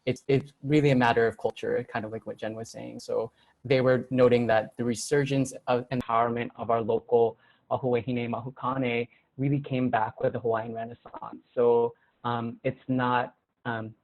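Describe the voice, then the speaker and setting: A man speaking as a webinar panelist over a video call, soft-spoken and hesitant. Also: medium pitch, creaky, narrow, tinny audio. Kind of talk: panel discussion.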